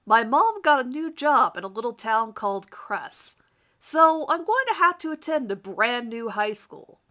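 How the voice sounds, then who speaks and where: Woman playing a smug teenage charmer, lively and bright. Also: fairly high pitch, measured pace. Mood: smug, confident. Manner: self-assured, formal wording. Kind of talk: dramatic reading of first-person fan fiction.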